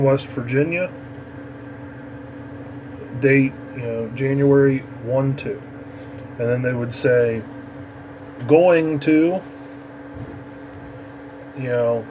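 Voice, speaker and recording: A man with a medium-pitched voice gives an informal tutorial. He explains things step by step in a casual, halting way, as an instructor would. A clearly audible steady noise runs behind his voice. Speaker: amateur radio instructor. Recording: noisy, steady background hum.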